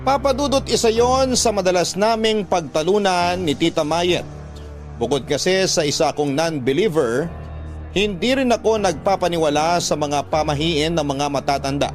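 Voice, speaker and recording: Radio drama narrator played by a man: skeptical nephew, slightly high voice, first-person storytelling over music. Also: normal speed, lightly acted. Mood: reflective.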